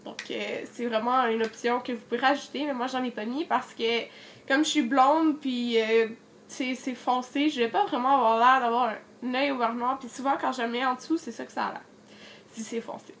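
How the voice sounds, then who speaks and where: A woman's voice: on the high side, steady tone, moderate pace, a bit bright, slight background noise. A young woman with a Quebec French accent, chatting casually through a makeup tutorial while she has a cold, with lots of fillers. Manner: chatty, many fillers, addresses viewers directly. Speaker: beauty vlogger.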